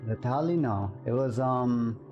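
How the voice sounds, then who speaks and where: Man, live streamer, casual rambling chat, mid-range voice, clear game music behind. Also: medium pitch, animated, measured pace, highs cut off. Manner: rambling, musing aloud, self-correcting.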